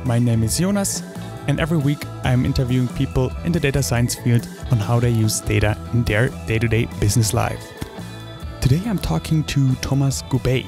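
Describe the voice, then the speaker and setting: A man hosting a podcast, reading a scripted intro and then chatting with a guest in an upbeat way. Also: mid-range voice. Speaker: podcast host. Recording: dry voice with faint music.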